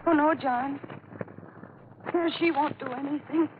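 An actress playing a frightened young American woman near hysterics in a 1940s radio mystery, with a breathless, pleading, melodramatic delivery in short halting phrases. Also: sits high, fairly even intonation. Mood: panicked, pleading. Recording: scratchy, tinny 1940s radio broadcast, heavy noise.

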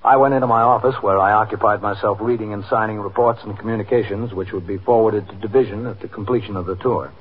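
An actor playing a calm, probing police captain, measured and matter-of-fact, in a 1950s radio drama. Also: male voice, fairly low pitch, breathy edge. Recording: dull, muffled 1950s radio recording.

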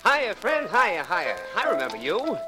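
A friendly, boastful record clerk in an old radio show. The voice is fairly high and full of breezy, repetitive slang, with music on the recording. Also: male voice, huge pitch swings, a little slow, exaggerated voice. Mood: eager, breezy. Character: eager, chatty, boastful record shop clerk, dim but friendly. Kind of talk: 1940s radio comic character acting.

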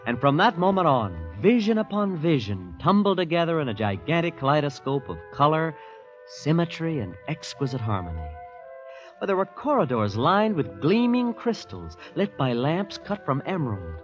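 Wide-eyed young visitor, American, earnest, marveling, lively intonation, dull old radio recording. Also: male voice, a little high, moderate pace, soft background music. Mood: awed narration. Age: young adult. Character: wide-eyed, awestruck young visitor who narrates his tale. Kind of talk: 1950s American radio drama acting. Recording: muffled vintage radio recording.

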